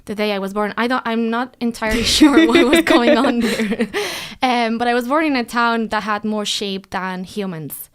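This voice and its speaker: A woman from Spain in her thirties hosting a parenting podcast, chatting casually and laughing now and then, often trailing off into a softer voice. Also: a little high, normal speed, clean tone. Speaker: podcast host and business owner.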